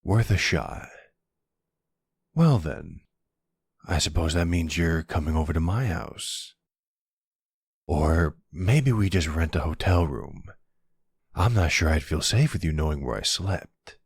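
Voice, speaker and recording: Man playing a gravelly, flirty male vampire. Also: a bass voice, expressive, takes it slowly, pauses often, dry recording, studio quality, heavily acted. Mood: playful, smug.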